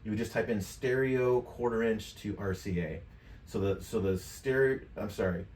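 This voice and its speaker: A man's voice: on the low side, moderate pace, darkish tone. A middle-aged American man giving a casual tutorial demonstration. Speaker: tutorial presenter. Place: furnished game room.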